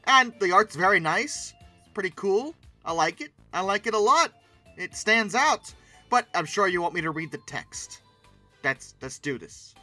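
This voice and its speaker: Animated, bright-voiced commentator. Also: mid-range voice. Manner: theatrical, with mock-dramatic emphasis. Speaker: host of a paleoart commentary channel. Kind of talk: casual off-the-cuff commentary on pictures.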